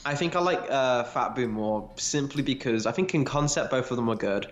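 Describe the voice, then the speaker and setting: A man's voice: medium pitch, expressive, moderate pace, dull audio, soft background music. A man in casual analytical fan discussion as a podcast co-host, explaining as he thinks aloud, with some swearing. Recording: echoey, roomy sound.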